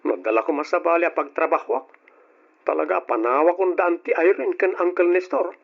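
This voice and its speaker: An actor playing a put-upon young nephew in an Ilocano radio drama, speaking with an Ilocano Filipino accent. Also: male voice, a little high, a little fast, gravelly. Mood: resentful, weary. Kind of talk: old-style Ilocano radio drama acting. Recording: dull, lo-fi radio drama recording.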